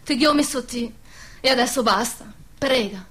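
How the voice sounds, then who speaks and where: Bright, firm woman companion. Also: a little high, normal speed, breathy edge. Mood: firm encouragement. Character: steadfast, encouraging woman companion, bitter about war. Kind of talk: radio theatre acting in dialect.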